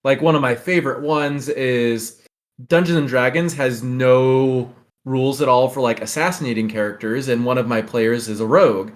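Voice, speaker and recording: A man's voice: medium pitch, fairly even intonation, normal speed. Man chatting with casual enthusiasm. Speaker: podcast host.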